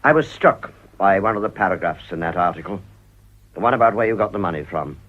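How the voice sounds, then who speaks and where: A scrupulously honest British company director in a radio play. His voice is low, with a grave, firm and deliberate delivery. The recording sounds muffled and old. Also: lively intonation. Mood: measured. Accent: British English. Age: middle-aged. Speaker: actor.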